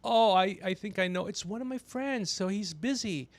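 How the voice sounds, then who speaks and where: A man with a notably high voice and a lot of gravelly creak in it. He hosts a casual livestream, needling and swearing freely with an excitable delivery. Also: moderate pace, dry recording. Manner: teasing, needling, profane. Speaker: podcast host.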